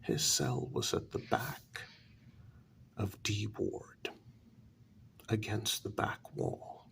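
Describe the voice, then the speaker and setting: A man telling a story in a soft-spoken ASMR style, breathy and deliberate, with many dramatic pauses. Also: lowish voice, lively intonation, a little hiss or hum. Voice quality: breathy half-whisper with heavy creak. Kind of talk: soft-spoken ASMR story narration, first-person role-play.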